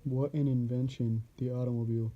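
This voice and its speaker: A man's voice: medium pitch, flat delivery, a little fast, lo-fi sound. A young man reading scripted announcements as the presenter of a 1920s-style radio show.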